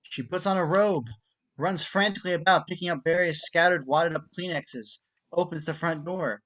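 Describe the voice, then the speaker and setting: Casting: male narrator for a screenplay table read, slightly high pitch, reads scene directions, lo-fi sound. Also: moderate pace, a bit bright. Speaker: narrator reading stage directions. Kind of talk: scripted narration at an amateur script reading. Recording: dull, muffled home recording.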